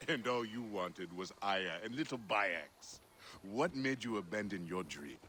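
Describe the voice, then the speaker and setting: Deep, bitter, drunken braggart charioteer. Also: male voice, animated, a little slow, sharp tone, slight background noise. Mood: taunting. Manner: swaggering, taunting. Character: boastful, bitter, drunken male charioteer nursing old grudges. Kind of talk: video game cutscene acting.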